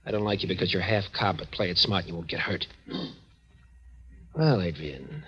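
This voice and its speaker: A menacing American tough on the run, with a low, forceful voice. He talks in curt threats, in old radio drama style, and the recording is dull. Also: moderate pace. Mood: cold menace. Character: menacing, tough male gangster-type fugitive out for revenge. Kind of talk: 1940s radio drama acting.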